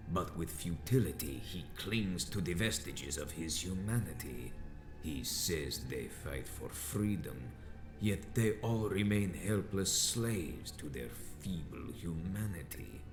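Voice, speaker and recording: An actor playing an ancient, proud and vengeful vampire elder in a video game, speaking gravely in formal, archaic, theatrical phrases. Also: male voice, echoey room. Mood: scornful, grave. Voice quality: very deep, grave.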